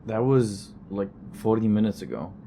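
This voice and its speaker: A man acting in an audio drama as a steady, reassuring friend at the wheel, with road noise behind him. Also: lowish voice, normal speed, gravelly. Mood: cautious. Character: steady, reassuring male friend driving a car.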